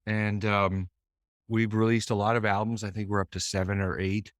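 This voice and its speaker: A man's voice: lowish voice, little pitch movement, moderate pace, no echo, clean studio sound. A man from Boston, a musician, talking in a thoughtful, meandering way with many restarts as a guest over a video call. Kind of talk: casual interview chat.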